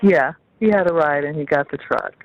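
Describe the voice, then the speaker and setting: A woman's voice: low voice, fairly quick. A woman chatting casually on a personal phone call. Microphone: telephone. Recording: muffled phone call with faint noise.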